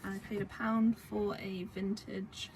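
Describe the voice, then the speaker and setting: Young woman, a bit croaky with a cold, casual chatty vlogger, mid-range voice, noisy home recording. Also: medium pitch, flat delivery, slow pace, a little mellow. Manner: chatty, rambling with many fillers. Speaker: vintage reseller vlogger. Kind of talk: casual chat. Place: home indoors. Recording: home recording with clear background noise.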